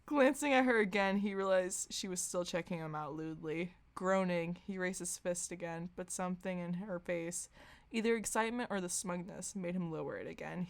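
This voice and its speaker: A woman podcast host giving a comedic, mock-serious dramatic reading of fan fiction, often sounding amused. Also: lowish voice.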